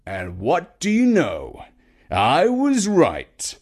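A man's voice: a little high, huge pitch swings, drawn-out words, a little mellow, breathy edge, pitched above the performer's own voice, heavily acted. A man voicing a breezy, self-assured uncle in a children's story, with a drawling, emphatic, chatty way of talking. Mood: confiding, triumphant. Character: breezy, charmed, self-assured younger or middle-aged uncle.

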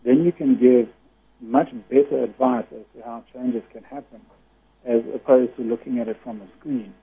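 A man with a naturally loud voice speaks as a guest on a radio interview, explaining his points earnestly and at length. His voice is very husky and comes through a thin, tinny phone line with a narrow band. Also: medium pitch, fairly even intonation, moderate pace.

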